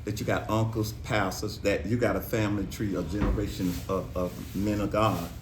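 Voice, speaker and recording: A middle-aged father from Carolina, telling family stories in a slow, easygoing, preacherly way. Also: lowish voice, fairly even intonation. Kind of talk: casual chat. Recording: echoey room, faint noise.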